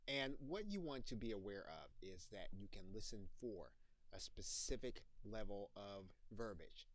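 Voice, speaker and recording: A man narrating an instructional software tutorial in a methodical, explanatory way as the instructor. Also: fairly low pitch, no echo, rough recording.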